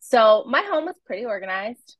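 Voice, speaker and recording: A woman's voice: on the high side, big pitch swings, normal speed, no echo, highs cut off. A Canadian woman in her forties, a home organizing coach, talking in a friendly, conversational way as an interview guest. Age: 40s.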